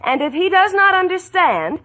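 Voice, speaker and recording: A woman's voice: extremely high pitch, sing-song, moderate pace, sharp tone, heavily acted. A woman playing a sensible, exasperated young roommate who narrates with dry wisecracks in a 1940s radio comedy. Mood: baffled reading. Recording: noisy old radio broadcast.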